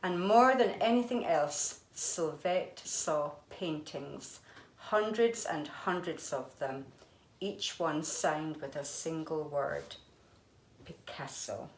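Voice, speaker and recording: A woman's voice: sits low, slow pace. A woman, an art teacher, reading a children's storybook aloud expressively. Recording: echoey room.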